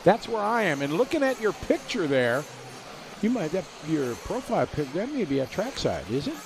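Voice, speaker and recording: A man calling a radio-controlled car race live, giving quick play-by-play and reading out viewer messages. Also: a little high, sing-song, noisy background. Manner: rapid play-by-play, reads viewer chat aloud. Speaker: race commentator.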